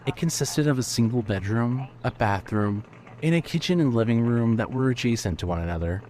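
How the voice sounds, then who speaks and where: A man's voice: mid-range voice, lively intonation, brisk, a little mellow, slight background noise. A man reading scripted story narration as the narrator of a fiction podcast.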